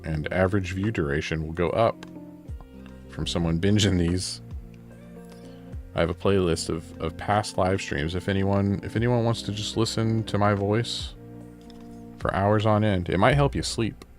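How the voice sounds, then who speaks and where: A man chatting casually during a livestream while he paints miniatures, relaxed and rambling, often correcting himself. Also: deep voice, a little slow, faint music behind.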